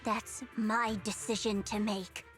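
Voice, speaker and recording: An actress voicing a calm, resolute female judge in a game, measured and unyielding. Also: medium pitch, soft background music. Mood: cold. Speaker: heroine in a game cutscene.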